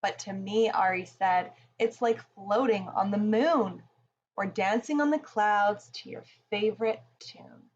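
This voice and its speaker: A woman reading a rhyming children's picture book aloud in a deliberate, expressive storytelling manner. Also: mid-range voice, lo-fi sound, a little hiss or hum.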